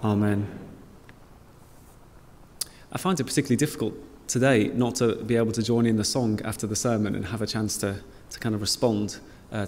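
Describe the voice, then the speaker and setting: A man in his thirties leading prayer and dismissing the congregation from a stand mic in a church hall, relaxed and informal. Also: fairly low pitch. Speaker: church leader. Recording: long hall reverb, faint noise.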